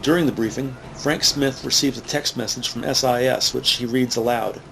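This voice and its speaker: A man narrating a scripted crime drama in a measured, matter-of-fact way. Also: medium pitch, steady tone, creaky, a bit bright, slight background noise, rough recording. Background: music.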